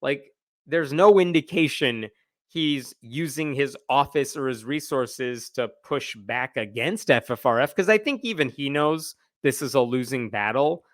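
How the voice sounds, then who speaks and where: A man's voice: mid-range voice, unhurried, no echo, studio quality. A man hosting a livestream with casual, opinionated news commentary, conversational and emphatic, with a sardonic edge.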